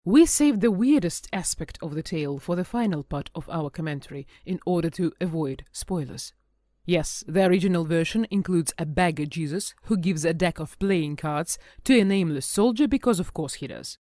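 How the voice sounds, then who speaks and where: A woman's voice: lowish voice, lively intonation, normal speed, warm tone, no echo, studio quality. A woman podcast host reading scripted commentary with a wry touch.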